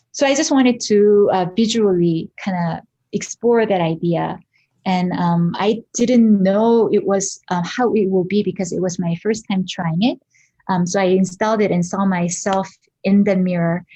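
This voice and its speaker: Korean-accented woman, thoughtful and halting. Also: mid-range voice, warm tone, muffled recording. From South Korea. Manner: thoughtful, halting, many fillers and restarts. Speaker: guest artist. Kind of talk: reflective interview talk.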